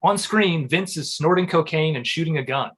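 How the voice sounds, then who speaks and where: A man's voice: fairly high pitch, moderate pace, a bit bright, husky. A man reading screen directions and comic narration at a live table read.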